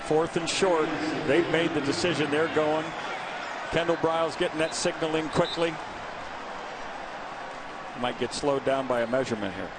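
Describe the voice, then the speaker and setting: A man's voice: a little high, moderate pace, pauses often, very noisy. A man reporting from the sidelines of a live college football game, laid-back with joking asides.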